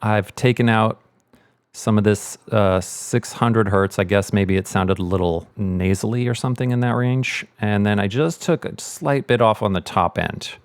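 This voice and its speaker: A man's voice: fairly low pitch, moderate pace, clean tone, no room sound. A middle-aged man hosting a casual audio tutorial, explaining things as a sound mixer would. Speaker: host, sound editor and mixer.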